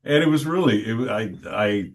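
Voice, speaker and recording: Deep-voiced middle-aged man, easygoing storyteller. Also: animated, fairly quick. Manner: good-humored, reflective, talks at length. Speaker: stadium announcer guest. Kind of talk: casual storytelling in an interview.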